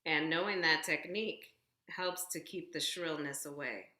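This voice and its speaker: A woman singer speaking as a guest, explaining things in a measured, conversational way. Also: deep voice, bright, forceful tone, echoey room. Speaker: guest vocalist. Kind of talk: conversational discussion of singing technique.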